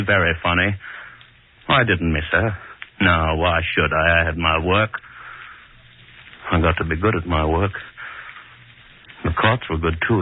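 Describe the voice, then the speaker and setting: A man's voice: low voice, close to an everyday voice. An actor playing a gloating, money-hungry old hangman in a brooding, obsessive 1940s radio horror monologue. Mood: smug recollection. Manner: brooding, obsessive, repeats his phrases. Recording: muffled, crackly 1940s radio broadcast recording.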